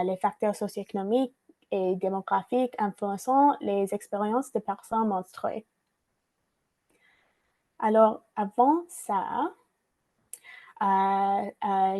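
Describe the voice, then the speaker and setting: A woman leads a virtual workshop through her computer mic. Her tone is mellow and warm. She reads from notes, often hesitating and starting phrases over. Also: mid-range voice. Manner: frequent hesitations and restarts, reading from notes. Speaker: workshop facilitator. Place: online video call.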